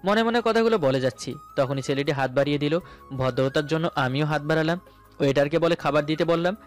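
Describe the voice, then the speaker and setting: A man's voice: a little high, fast. A man narrating a romantic story dramatically in the first person, as its male lead. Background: background music.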